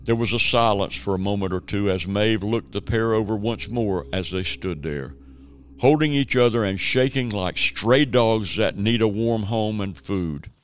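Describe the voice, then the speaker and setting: Low-voiced man narrating a radio play. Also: normal speed, slight background noise.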